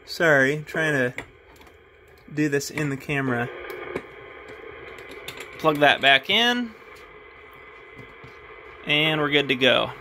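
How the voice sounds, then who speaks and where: A man thinking aloud in a relaxed way as he tests his CB radio in a garage. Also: on the high side, slow, long pauses, a little hiss or hum. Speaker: radio hobbyist demonstrating his CB radio. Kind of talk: casual hobby video narration. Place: home garage.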